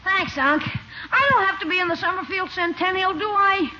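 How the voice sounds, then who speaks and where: Cheeky, reluctant schoolboy nephew, piercingly high, 1950s radio comedy, hissy dull sound. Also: normal speed. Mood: pleading. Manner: whiny, sarcastic, slangy. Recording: muffled, very noisy old radio recording.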